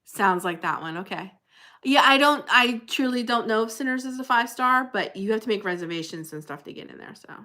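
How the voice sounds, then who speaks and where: A woman with a Jersey accent talks at her apartment desk, rambling through a casual livestream and breaking into high, excited exclamations as she reads comments. Her voice is bright and clear, and she sounds a bit stuffy from a runny nose. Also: a little fast. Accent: New Jersey. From New Jersey. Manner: chatty, rambling, reacts to chat with sudden high exclamations. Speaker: livestream host.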